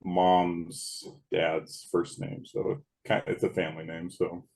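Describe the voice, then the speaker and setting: A man with a very deep, big booming voice chats as a podcast guest. He is in his late thirties and talks in a loose, laid-back way, stopping and restarting as he remembers. He sounds relaxed and unhurried. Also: vocal fry, breathy edge. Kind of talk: casual chat.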